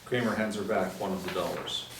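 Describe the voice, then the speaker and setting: A man's voice: deep voice, fairly quick, fairly bright tone. A man reading out scripted screenplay action and stage directions as the narrator. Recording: echoey room, distant mic, faint noise.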